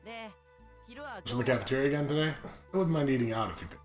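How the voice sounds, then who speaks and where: A lively let's play commentator with a very mobile, mid-range voice. The talk is casual and teasing, slipping between reading lines and mock insults. The room is echoey and music plays underneath. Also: medium pitch, fast. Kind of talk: casual joking commentary on a visual novel, reading lines aloud. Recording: roomy echo, music behind.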